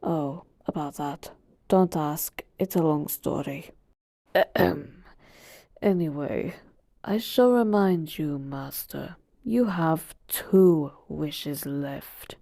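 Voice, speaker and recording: A woman's voice: deep voice, slow, vocal fry, warm tone, no echo, clean studio sound, heavily acted. A woman voicing an ancient, all-powerful genie who talks casually and teasingly to a master in a roleplay monologue. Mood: wry, instructive. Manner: casual, teasing, conversational asides. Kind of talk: in-character roleplay monologue to the listener.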